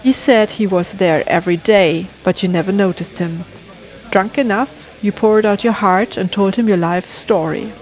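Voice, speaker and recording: Female storyteller, mid-pitched voice, measured atmospheric narration of scripted dark fiction, music underneath. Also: poor audio. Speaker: storyteller and channel host.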